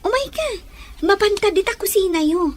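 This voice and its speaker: Radio drama schoolgirl played by a woman: sweet, eager, coy, very high-pitched. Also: lively intonation, normal speed. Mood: friendly, coaxing. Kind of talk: radio drama acting in Ilocano.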